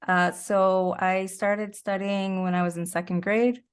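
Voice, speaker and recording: A woman's voice: medium pitch, level tone, a little slow, clean tone. A woman speaking as an interview guest, chatting informally and often chuckling through her words. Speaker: interview guest, actor and musician. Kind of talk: casual interview chat.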